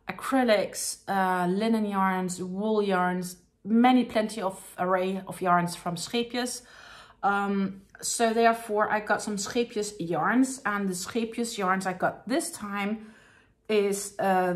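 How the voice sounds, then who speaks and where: A Danish woman with a Danish accent, casually chatting through an unboxing as a crochet vlogger, full of ums and likes and sometimes dropping to a soft murmur. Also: medium pitch. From Denmark. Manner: chatty, many ums and likes, drops to a soft murmur while handling yarn. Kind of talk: casual unboxing show-and-tell.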